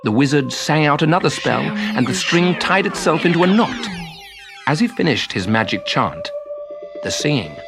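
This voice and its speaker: A man reads a children's tale as narrator. His fairly high voice moves expressively through the story, with music clearly audible underneath. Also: big pitch swings, normal speed.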